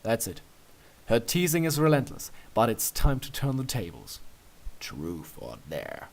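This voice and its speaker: A man voicing a bashful, playful pony protector in a story reading, earnest and gently bantering. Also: mid-range voice, huge pitch swings, a little slow.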